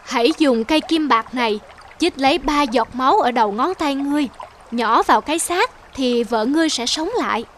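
A woman's voice: sits high, moderate pace, slight background noise, close to an everyday voice. A woman voicing a solemn old male immortal in a cartoon fairy tale, with grave, formal old-fashioned speech.

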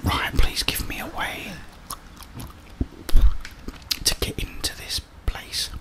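A young man giving whispered ASMR game commentary in a breathy voice, murmuring short remarks to himself and his viewers. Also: unhurried. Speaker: gaming ASMR host. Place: small indoor room with plain wall.

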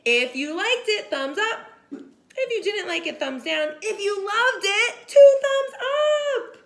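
Very animated, high-voiced woman reading to children. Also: slow. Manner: expressive, exaggerated gasps and hushed asides. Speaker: teacher reading aloud to children. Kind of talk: animated children's picture-book read-aloud. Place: home kitchen.

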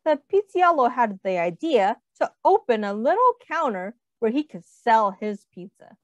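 A woman reading a picture book aloud to young children, expressively, pausing to explain and ask questions. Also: on the high side, big pitch swings, no room sound. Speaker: read-aloud host, teacher.

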